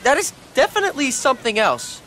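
A woman's voice: sits high, very animated, quick pace, a bit bright, a little hiss or hum. A woman playing an awkward, timid, good-natured teenage boy hero in a video game, hesitant and easily flustered. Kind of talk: video game cutscene acting.

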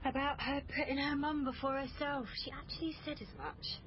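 An actress plays a matter-of-fact woman on a police case in a radio drama. Her voice is fairly high, in a muffled recording with faint noise. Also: steady tone, brisk, close to an everyday voice. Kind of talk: naturalistic radio drama dialogue.

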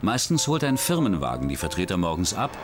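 A man giving scripted true-crime documentary narration in a measured, even way. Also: low voice, background noise. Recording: studio voice-over with music bed.

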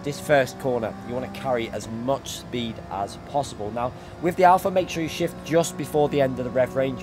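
Fast-talking man giving a racing guide. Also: mid-range voice, darkish tone, a little hiss or hum. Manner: instructional, step-by-step explaining. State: losing his voice from a cold. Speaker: sim racing YouTuber, host. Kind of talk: tutorial commentary over racing game footage.

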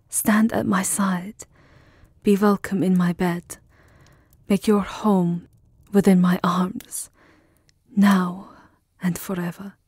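A woman's voice: mid-range voice, a little mellow, dry recording, clean studio sound, heavily acted. A woman voicing a lovesick immortal vampire countess in a tender, intimate, pleading ASMR roleplay. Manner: breathy, intimate, pleading, drawn-out phrasing.

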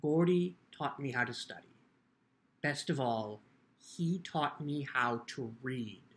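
A man in a dramatic book reading, playing an earnest, self-mocking, lonely teenage boy in an animated, expressive way. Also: mid-range voice, slow. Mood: reflective. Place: small furnished room at home. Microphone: video call.

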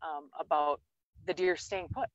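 A woman's voice: low-pitched, fairly even intonation, measured pace, no room sound, dull audio. A woman hosting an outdoor farm interview, upbeat and summing up her guest's answers. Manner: upbeat, leading questions with recaps. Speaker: host, soil health interviewer.

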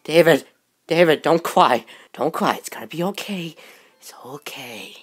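A woman reacting to a video in excitable commentary, exclaiming and sometimes halting or stammering over words. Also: mid-range voice, slightly rough, soft background music. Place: bedroom, indoors.

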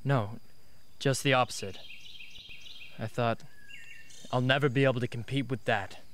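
A man's voice: medium pitch, steady tone, a little slow, fairly bright tone, a little hiss or hum, lightly acted. An actor playing an embittered, intense young male rebel in a radio drama. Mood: wistful, resigned.

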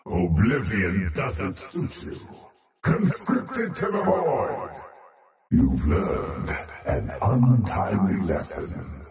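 An actor playing a power-hungry, faceless void creature for a video game, slow, portentous and theatrical in his gloating menace. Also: low-pitched, wildly swooping pitch, heavily acted. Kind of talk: scripted video game character voice lines. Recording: heavily echoing, distant, loud music behind.

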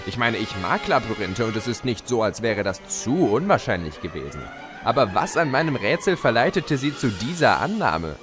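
A man's voice: medium pitch, very animated, moderate pace, lightly acted. A man playing a gloating, mischievous chaos spirit who tells of his schemes in a theatrical, teasing way in an audio drama. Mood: smug, amused. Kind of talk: German fan audio drama, storytelling. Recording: music behind the voice.

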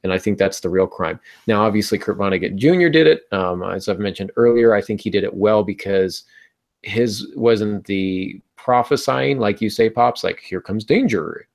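Middle-aged man, low pitch, podcast host, conversational, explanatory. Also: normal speed, creaky, a little mellow. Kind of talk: conversational writing-advice panel talk. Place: small room at home, indoors. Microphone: earbud headset on video call.

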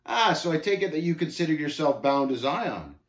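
A male storyteller puts on an arrogant, contemptuous scoffer from an old-fashioned allegory. He gloats and snaps in archaic phrases, his pitch swinging widely in a roomy, echoing space. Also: very animated, a little fast. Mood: haughty, contemptuous. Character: haughty sneering scoffer named Shame, taunting pilgrims.

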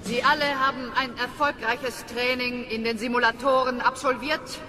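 Actress as a stern high-voiced female lieutenant. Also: steady tone, moderate pace, background noise, natural voice. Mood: stern, official. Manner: authoritative, declamatory. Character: stern female lieutenant addressing new recruits. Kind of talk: formal military speech in radio drama.

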